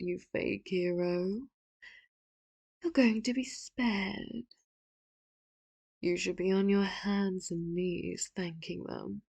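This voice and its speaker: A woman's voice: medium pitch, vocal fry, husky, no room sound, exaggerated voice. A woman playing a whispering, doting villain. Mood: threatening. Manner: slow, hushed, often whispered, turns sharp and loud for threats. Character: doting, manipulative villain, soft-spoken and menacing. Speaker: ASMR roleplay performer. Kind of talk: ASMR audio roleplay.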